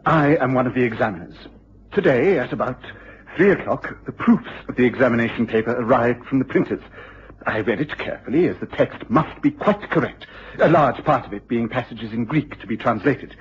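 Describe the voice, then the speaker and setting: An actor playing an agitated, excitable university lecturer with an English accent and a husky voice in a period radio drama, speaking formally and precisely. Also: male voice, mid-range voice, fairly quick, a little hiss or hum, close to an everyday voice. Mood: agitated, explaining. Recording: dull, lo-fi old radio recording.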